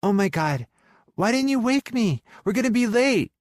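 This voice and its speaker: A man's voice: sits high, big pitch swings, normal speed, no echo, clean studio sound, voice pushed up. A man voicing a feisty, easily flustered young woman in romance audiobook dialogue. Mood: panicked. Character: young woman, flustered, sleepy then frantic, feisty girlfriend.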